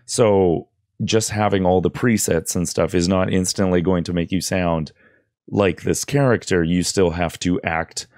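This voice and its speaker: A man's voice: low-pitched, fairly even intonation, measured pace, a little mellow, dry recording. A man in his thirties presenting an audio tutorial in an informal, loosely explanatory way, close on a desk microphone. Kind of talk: casual screen-recorded tutorial. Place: indoors at a computer desk. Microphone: close boom-arm microphone with headphones.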